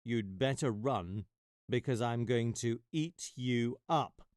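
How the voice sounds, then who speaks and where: A man's voice: mid-range voice, a little mellow, no echo, studio quality, close to an everyday voice. A man doing a huge grumbling lion. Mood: threatening. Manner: growling, slow and gruff. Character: huge grumpy male lion, king of the jungle.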